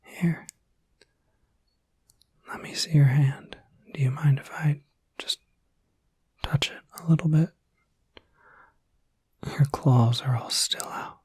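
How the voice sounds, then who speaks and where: A tender young werewolf voiced by a man whispers in a very breathy, airy voice. He talks slowly and casually, with soft hesitations, reassuring the listener like a caretaker. The ASMR roleplay is intimate and dry, with no room sound. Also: a little high, fairly even intonation, no room sound, full character voice. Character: gentle, caring young male werewolf looking after someone.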